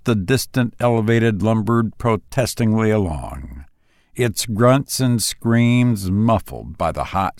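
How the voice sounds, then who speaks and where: A man speaking as a podcast host and story reader, with measured, deliberate phrasing, close on a voice-over mic. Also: lowish voice, a little mellow, no room sound. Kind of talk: podcast introduction and audiobook-style narration.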